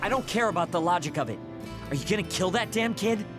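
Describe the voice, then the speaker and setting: A man's voice: sits high, expressive, fast talker, over music. A man playing a blunt, hot-tempered teammate in an English game dub, with a brusque way of speaking. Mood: angry.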